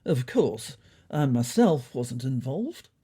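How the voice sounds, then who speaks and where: A woman plays a pompous male cat from a comedy audio story, grumpy and self-righteous. The voice is husky and lifted, swinging indignantly up and down as he holds forth. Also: a bass voice, sing-song, slow pace, mellow, heavily acted.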